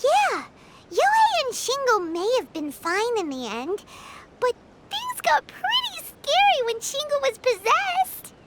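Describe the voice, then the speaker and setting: Squeaky little fairy sidekick. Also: female voice, wildly swooping pitch, slow pace, background noise, heavily acted. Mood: anxious. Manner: squeaky, bouncy and worried. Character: tiny floating female fairy companion, chirpy and excitable. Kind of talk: game voice acting.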